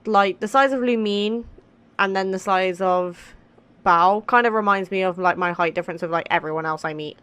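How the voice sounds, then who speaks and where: A woman's voice: medium pitch, normal speed, a bit bright, clear, resonant tone. A woman hosting a gaming channel, reacting informally in short, casual comments as she plays. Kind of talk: casual let's play commentary.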